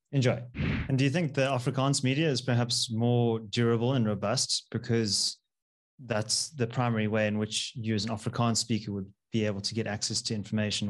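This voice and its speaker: A man hosting a podcast, reading a scripted intro and asking interview questions in a clear, composed way. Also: fairly low pitch. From South Africa.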